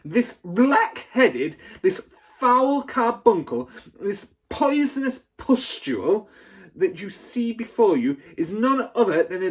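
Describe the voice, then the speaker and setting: A storybook reader voicing a huge, cruel, tyrannical headmistress who barks and booms insults. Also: darkish tone, husky. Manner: barking, booming insults. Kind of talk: storybook read aloud.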